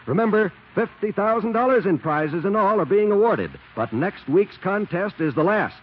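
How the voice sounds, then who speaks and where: A man's voice: high voice, expressive, normal speed, husky. An American man reading scripted announcements as a 1940s radio announcer. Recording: muffled 1940s radio recording.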